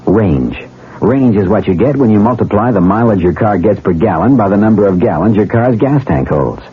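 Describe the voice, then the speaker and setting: A man announcing a car commercial in measured 1970s radio style. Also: fairly low pitch, lively intonation, slightly rough. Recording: thin telephone-like old radio recording.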